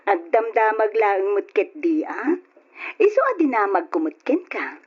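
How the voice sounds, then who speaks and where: An actress with a Filipino Ilocano accent playing an anxious, dutiful, soft-spoken wife in an old-fashioned radio drama. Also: female voice, medium pitch, sing-song, unhurried, husky. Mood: hesitant, questioning. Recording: dull lo-fi radio drama recording.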